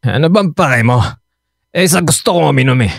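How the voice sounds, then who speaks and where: A drunk, short-tempered live-in boyfriend in a radio drama. He slurs and hedges with "eh" and "ah", and his pitch swings wildly from low mutters up to high yelps. The voice sits close and dry. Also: animated, normal speed, gravelly, no room sound. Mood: drunk, dismissive. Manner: slurring, defensive, full of hesitant "eh" and "ah".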